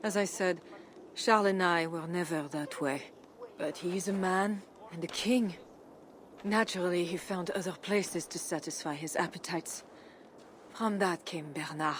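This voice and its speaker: A woman playing a dignified, guarded and pious medieval Frankish queen in measured, formal video game dialogue. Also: on the low side, slight background noise, close to an everyday voice. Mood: earnest, resolute. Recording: music behind the voice.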